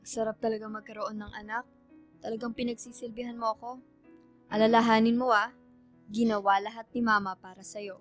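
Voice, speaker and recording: A woman's voice: on the high side, level tone, a little fast, pauses often, lightly acted. Woman acting nagging Filipino mother, music behind. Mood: nagging, expectant. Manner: nagging, insistent. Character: demanding, guilt-tripping middle-aged mother. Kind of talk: radio drama acting.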